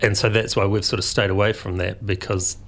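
A man's voice: on the low side, level tone, brisk, a bit bright. A man, a guest in a radio interview, talking in a rambling, thoughtful way with lots of restarts. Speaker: guest, food photographer and publisher.

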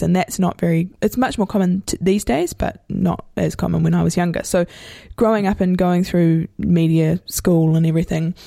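A woman's voice: on the low side, vocal fry, mellow, no echo, studio quality. A woman from New Zealand with a New Zealand accent, interviewing in a casual, reflective way, rambling with many restarts and hedges.

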